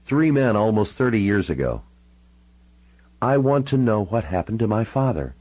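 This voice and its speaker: A man's voice: on the low side, sing-song, moderate pace, a little mellow, no echo, voice pushed up. A man voicing a troubled, sardonic, hard-drinking woman with a wry, frank and informal way of talking, in audiobook dialogue. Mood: determined.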